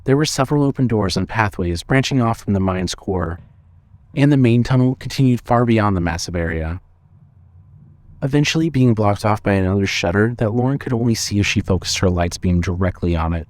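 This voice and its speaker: Male story narrator, lowish pitch, measured suspenseful read, dry close sound. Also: lively intonation, darkish tone, no echo.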